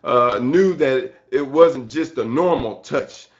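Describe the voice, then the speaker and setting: A man preaching an excited church sermon in a halting way, repeating phrases and punctuating them with amen and hallelujah. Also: mid-range voice. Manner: excited, fervent. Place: church service. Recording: dull, lo-fi sermon recording.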